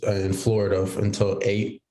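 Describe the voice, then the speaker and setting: Young man, from Haiti, podcast guest, low pitch, dark mellow tone, roomy echo. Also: monotone, fairly quick. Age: young adult. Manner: easygoing, storytelling with quoted inner thoughts. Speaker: guest football player. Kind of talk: casual interview chat.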